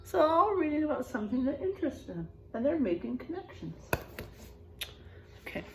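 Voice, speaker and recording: Animated, high-voiced woman reading to kids. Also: noisy background. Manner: expressive, drawn-out emphasis on rhymes, exclaiming. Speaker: elementary school teacher reading aloud. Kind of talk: animated picture-book read-aloud with chatty asides to children.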